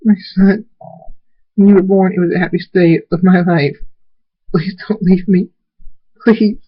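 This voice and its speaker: A man's voice: high-pitched, fairly even intonation, measured pace, husky, pitched above the performer's own voice, exaggerated voice. A man acts out a sorrowful mother mare from a cartoon story, in a raised, tender voice full of pleading. The recording is slightly dull. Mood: grieving, pleading. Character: tender grieving mother pony, adult female, pleading.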